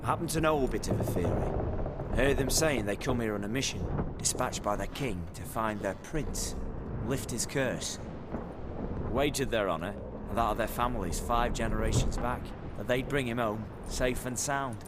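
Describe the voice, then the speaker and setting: A man's voice: medium pitch, moderate pace, very noisy, lightly acted. A British man voicing a chatty, cheeky petty thief in a video game, talkative and wry, with colloquial turns of phrase. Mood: matter-of-fact.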